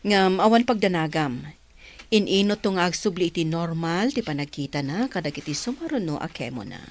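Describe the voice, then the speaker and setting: An actress playing a calm, reassuring female doctor in a radio drama. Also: fairly low pitch, brisk.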